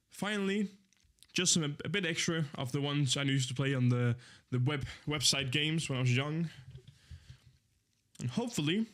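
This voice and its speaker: A young man in his twenties who streams and chats casually to his viewers, rambling in an easygoing way and often correcting himself. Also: on the high side. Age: 20s. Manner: rambling, easygoing, with many fillers and self-corrections. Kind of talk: casual live-stream chat.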